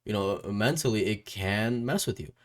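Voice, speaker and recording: A man's voice: on the low side, normal speed. A man hosting a casual interview as a music YouTuber, rambling, repeating his phrases and often checking in with a questioning tag. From Puerto Rico or Puerto Rican family.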